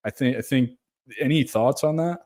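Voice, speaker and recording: A man's voice: mid-range voice, normal speed, a little mellow, no echo. Male host, reflective, thinks aloud, dry close sound. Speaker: podcast host. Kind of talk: conversational interview.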